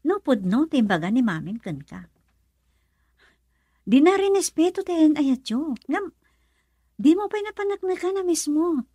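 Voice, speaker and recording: Young Filipino woman, high voice, animated swings, probing, emotional, radio drama, close dry sound. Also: a little slow, long pauses, no echo. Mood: earnest, urging. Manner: expressive, probing with many questions.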